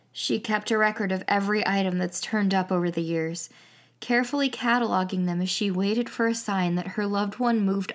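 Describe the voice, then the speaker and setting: A woman's voice: mid-range voice, natural voice. A woman playing a wry, chatty young radio broadcaster in an audio drama. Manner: wry, playful, wordy.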